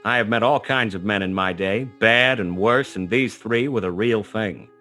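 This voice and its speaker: A tough, world-weary detective narrating an old-time radio play. The voice is low and sharp, wry and clipped, over faint music. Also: male voice, moderate pace. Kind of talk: 1920s hard-boiled radio play narration.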